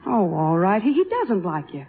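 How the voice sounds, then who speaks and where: An actress playing a warm-hearted, teasing young American band singer with a hesitant way of talking in a 1950s radio drama. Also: female voice, mid-range voice, very animated, normal speed. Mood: tender. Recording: muffled, echoing, hissy old radio recording.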